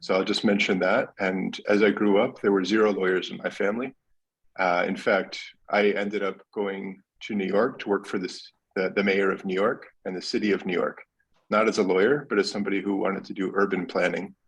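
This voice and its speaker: A man with an American accent speaks as a panel guest in a candid, relaxed way. His voice is low and a little husky. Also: brisk, poor audio. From United States. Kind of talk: conversational career storytelling.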